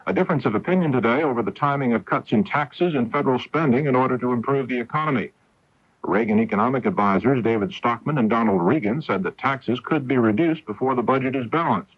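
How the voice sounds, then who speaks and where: A low-voiced American man reads the news with formal, measured delivery. His voice is husky, heard through a dull 1980s AM radio broadcast. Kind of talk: scripted newscast narration.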